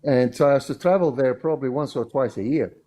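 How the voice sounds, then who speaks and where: A man's voice: medium pitch, quick pace, dark tone. A man in casual conversation on a livestream, explaining things in a rambling way and repeating himself. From Australia (resident). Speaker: livestream discussion participant. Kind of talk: casual chat. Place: livestream call.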